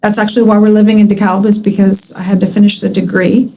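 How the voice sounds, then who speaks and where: A chatty woman in her fifties from California, a guest on a podcast. She talks in an easy, rambling way, filling pauses with ums and likes, and laughs readily. Her voice sits at an ordinary middle pitch for a woman. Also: little pitch movement, vocal fry, dark tone, slight background noise. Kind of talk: casual interview chat.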